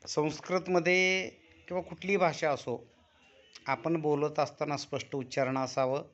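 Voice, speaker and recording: A man with a Marathi accent teaching a Sanskrit class online, instructive and deliberate in his enunciation. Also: fairly high pitch, steady tone, fairly bright tone. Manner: instructive, enunciates deliberately, prompts students with questions. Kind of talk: online class instruction with chanted verse recitation. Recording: dull, muffled online class audio.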